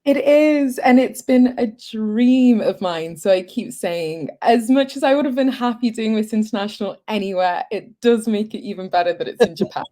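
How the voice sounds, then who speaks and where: A woman's voice: on the high side, moderate pace, hardly any pauses, warm tone. A young woman from Zimbabwe, answering interview questions in an earnest, upbeat and articulate way. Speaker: pageant contestant, guest.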